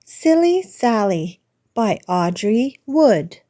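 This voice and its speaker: A woman hosting story time, reading a picture book aloud to young children in a deliberate, sing-song manner. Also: on the high side, big pitch swings, dark tone. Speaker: children's storybook reader and host.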